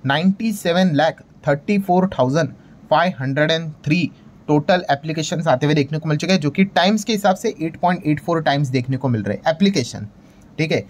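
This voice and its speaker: Higher-voiced Indian man, emphatic explainer. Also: fairly quick. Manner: conversational, repeats catchphrases and checks, mixes English terms into talk; emphatic, hyping. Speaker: stock market video host. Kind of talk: casual explainer commentary over screen graphics.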